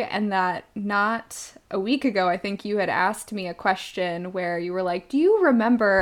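Wry woman co-hosting a podcast. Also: mid-range voice. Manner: wry, self-deprecating, laughs while talking. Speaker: podcast co-host. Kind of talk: casual podcast chat.

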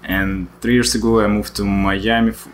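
A man in his thirties with a Russian accent, chatting informally as an interview guest, halting and repeating words. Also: fairly low pitch, level tone, slight background noise. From Vladivostok, Russia. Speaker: interview guest, artist and designer. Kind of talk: casual chat.

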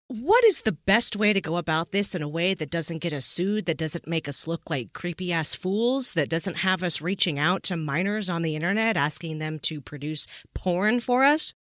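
A woman's voice: lowish voice, lively intonation, normal speed, fairly bright tone, dry recording, studio quality. A woman co-hosting a podcast discussion, speaking in an emphatic, blunt, casually sweary and opinionated way. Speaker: podcast co-host.

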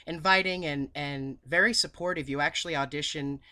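A man's voice: fairly high pitch, fairly quick, bright, forceful tone. A man in his thirties with a New England accent, chatting effusively as an interview guest and telling stories with emphatic repetition. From Massachusetts, USA. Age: 30s. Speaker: podcast guest, theme park singer.

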